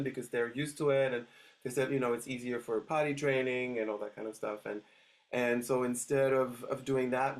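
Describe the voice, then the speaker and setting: A middle-aged man talks through his story in a casual interview. He hesitates often and restarts his sentences, filling gaps with "um" and "uh.". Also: medium pitch. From Switzerland. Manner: hesitant, many restarts and fillers. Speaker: dog trainer guest.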